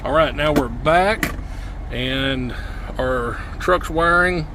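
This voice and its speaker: A man's voice: a little high, slow pace, noisy background. A man hosting a casual gear demonstration vlog from inside a truck cab, with a folksy, informal way of talking. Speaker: video host, CB radio enthusiast.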